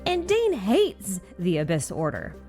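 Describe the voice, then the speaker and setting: A woman's voice: mid-range voice, wildly swooping pitch, measured pace, darkish tone, faint music behind. A woman reading conversational scripted narration for a game recap, with wry, informal asides.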